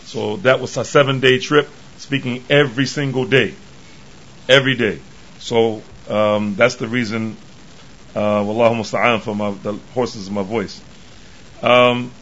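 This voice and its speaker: Hoarse, tired man giving a lecture. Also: medium pitch, fairly bright tone, dull audio. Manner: halting, with many pauses and restarts. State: tired from travel. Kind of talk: religious lecture.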